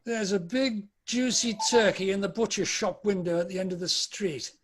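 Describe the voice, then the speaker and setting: A man's voice: sits high, moderate pace, a little hiss or hum, lightly acted. A British man playing an anguished, repentant old miser in a theatrical, pleading dramatic table read. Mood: joyful.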